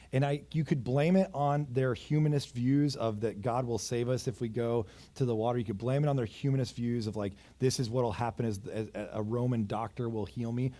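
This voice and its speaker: A man in his thirties preaching a sermon, moving between raised urgent passages and quiet, intimate ones, with self-deprecating asides. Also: mid-range voice, breathy edge. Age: 30s. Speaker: pastor. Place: church.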